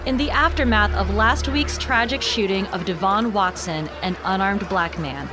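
A woman's voice: mid-range voice, moderate pace, over music, lightly acted. A woman playing an eager, polite TV news reporter, giving urgent, articulate live reporting in an audio drama.

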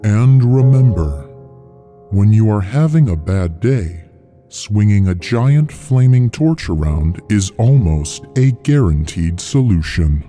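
Very deep, mellow male credits announcer. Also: very animated, slow pace, faint music behind. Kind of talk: scripted podcast credits read.

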